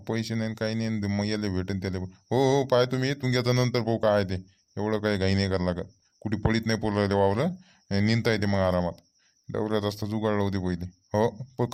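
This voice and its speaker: A man's voice: fairly low pitch, darkish tone, smooth, natural voice. A man playing a harried, fretful village farmer in a comic cartoon, muttering complaints in a Vidarbha Marathi accent.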